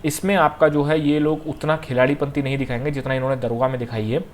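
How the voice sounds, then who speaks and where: A man's voice: medium pitch, flat delivery, quick pace. A man gives informal exam advice to students as a coaching teacher, instructively repeating key points and checking in often.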